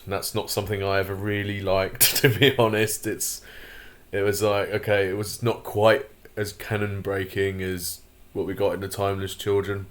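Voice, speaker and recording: Vlog host, male, deep and croaky, rambly and opinionated. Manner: rambling, many fillers and restarts; opinionated, grumbling asides. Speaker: channel host. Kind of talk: casual commentary vlog.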